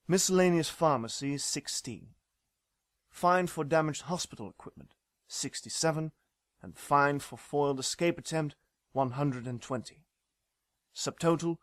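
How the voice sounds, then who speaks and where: A man's voice: on the high side, no room sound, natural voice. A man playing a businesslike hospital clerk, reading out items one by one in a fan audio drama. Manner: clerical, itemising.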